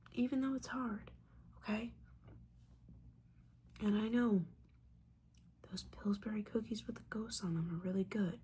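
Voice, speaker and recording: Disapproving witch teacher, acted by a woman, hushed breathy ASMR whisper, mellow, exasperated and sweary, some noise behind. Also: a little high, lightly acted. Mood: scolding. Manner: scolding, casual, sweary, exasperated. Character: disappointed, judgy, sarcastic witch mentor, female. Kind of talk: whispered ASMR roleplay monologue.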